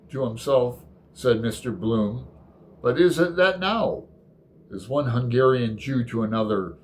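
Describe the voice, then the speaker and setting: A man hosting a book video, talking casually in a halting, unhurried, rambling way. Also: medium pitch, slight background noise. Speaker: host of a book readalong video. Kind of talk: casual chat with poems read aloud.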